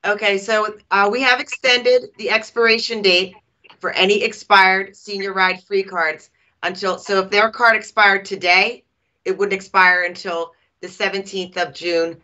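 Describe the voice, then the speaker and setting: A woman's voice: mid-range voice, sharp tone. A middle-aged woman answering questions as a guest panelist, precise and formal, on a video call. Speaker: guest panelist from transit agency. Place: small office. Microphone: video call computer microphone. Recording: slightly dull video call audio.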